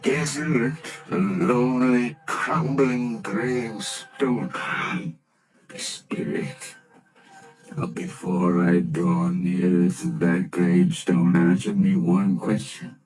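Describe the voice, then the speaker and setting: An actor playing a frightened old miser who pleads with a ghost in trembling, often whispered lines, in old-time radio drama style. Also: male voice, sits low, slow pace, over music, low-quality recording. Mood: fearful pleading. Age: older. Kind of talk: 1940s radio acting.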